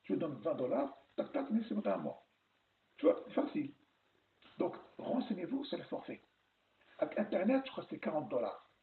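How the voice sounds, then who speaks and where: Man from France, animated vlog advice, thin phone-like sound in an echoey room. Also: fairly high pitch, normal speed, slight background noise. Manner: animated, repeats himself and restarts often. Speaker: vlogger giving advice on moving abroad. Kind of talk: casual advice talk.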